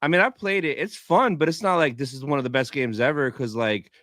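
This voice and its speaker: A man's voice: medium pitch, fairly quick, fairly bright tone, no echo, studio quality. A man co-hosting a casual livestream, chatting in a blunt, slangy way with casual swearing. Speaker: livestream co-host.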